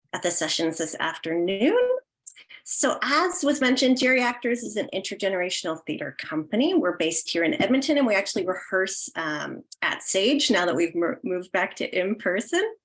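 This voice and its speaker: Canadian lady doing a casual welcoming talk over video call, medium pitch voice. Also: fairly bright tone. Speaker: associate theatre director presenting a session. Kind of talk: informal online presentation. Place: indoors, online video call.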